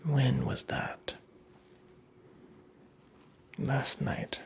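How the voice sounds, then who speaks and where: A tricksy, creepy fairy-tale imp played by an ASMR performer whispers with a gravelly rasp. The delivery is slow and theatrical, full of taunting, mock courtesy. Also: medium pitch, very animated, heavily acted. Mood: smug, menacing. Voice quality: breathy whisper with gravelly creak.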